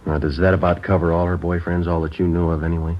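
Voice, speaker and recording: An actor playing a deep, clipped police sergeant. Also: male voice, little pitch movement, quick pace, slight background noise, close to an everyday voice. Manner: clipped, matter-of-fact police questioning. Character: terse male police sergeant narrating a case. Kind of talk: 1950s radio drama acting and narration. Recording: dull old radio broadcast with music.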